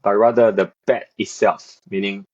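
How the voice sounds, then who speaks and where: A man's voice: lowish voice, flat delivery, normal speed, darkish tone, highs cut off. A man in his thirties, from South Korea and raised in Beijing, answering interview questions as a researcher, hesitant with many fillers and restarts. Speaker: researcher, assistant professor.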